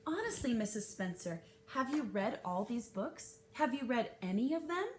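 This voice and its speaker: A woman's voice: sing-song, moderate pace, roomy sound. A woman voicing a firm school librarian in a children's storybook reading, pointed, incredulous and coolly sarcastic. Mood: indignant. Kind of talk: children's novel read-aloud. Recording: noisy with low rumble.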